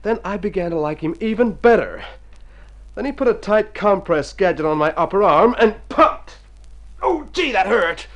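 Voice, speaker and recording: A man's voice: sits high, lively intonation, normal speed. A man playing a rich, personable young hypochondriac in a radio drama, exclaiming and stammering theatrically. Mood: enthusiastic. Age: young adult. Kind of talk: mid-century radio drama acting. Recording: old radio recording with music.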